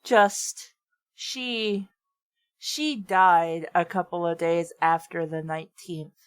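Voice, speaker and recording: A woman's voice: fairly low pitch, slow, smooth. A woman bantering casually as she reads and comments on fan fiction with friends.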